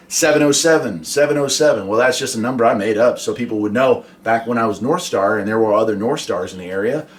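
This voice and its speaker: Chatty american guy, mid pitched voice, folksy hobby vlogger just explaining stuff casually. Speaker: hobby vlogger and CB radio operator. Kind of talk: casual explainer talk with radio call demonstrations.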